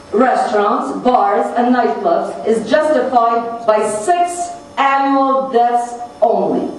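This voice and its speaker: Woman w high voice reading out a formal conference speech, emphatic, super echoey room, far from the mic. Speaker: conference speaker reading another person's message. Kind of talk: formal speech read aloud from a script. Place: large echoing conference room. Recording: very echoey hall, distant mic, noisy.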